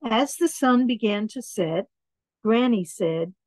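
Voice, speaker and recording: A woman reading children's picture books aloud in an expressive way, adding asides about the pictures. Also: a little high, takes it slowly, no room sound. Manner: expressive, acts out words and dialogue.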